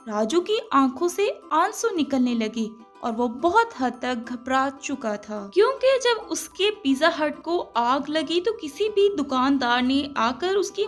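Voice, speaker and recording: High-pitched woman narrating a children's story.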